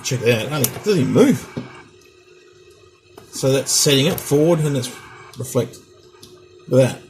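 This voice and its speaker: A man's voice: mid-range voice, lively intonation, moderate pace. Middle-aged man, chatty, repetitive, workshop noise in the background. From Australia. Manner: rambling, chatty, repeats test counts. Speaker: radio hobbyist vlogger. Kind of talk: casual hands-on equipment demo. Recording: home recording with noticeable background noise.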